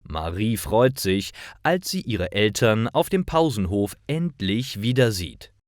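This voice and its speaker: A man reading simple stories as a narrator for language learners, in a deliberate and expressive storytelling style. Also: on the low side, no echo, studio quality. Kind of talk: scripted storytelling narration, read slowly for learners.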